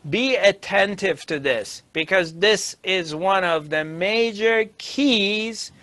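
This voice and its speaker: A middle-aged man giving a spiritual discourse as a teacher, emphatic and deliberate, with pauses. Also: sits high, pushed and bright, dry recording. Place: small dark indoor studio. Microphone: lapel mic.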